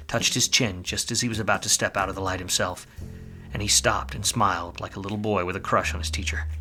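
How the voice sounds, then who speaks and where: An actor playing a weary, wisecracking 1940s private detective in a film noir radio play, with a wry, slangy manner. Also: male voice, on the low side, sharp tone. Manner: wry, hard-boiled, slangy.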